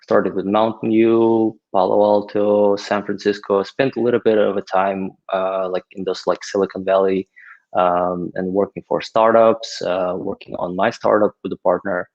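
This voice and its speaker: Man, thirties, low gravelly voice, dull lo-fi sound. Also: level tone, moderate pace, a little mellow. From Ukraine. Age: 30s. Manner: hesitant, many filler words. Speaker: podcast guest. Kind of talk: casual interview answers. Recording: dull lo-fi audio.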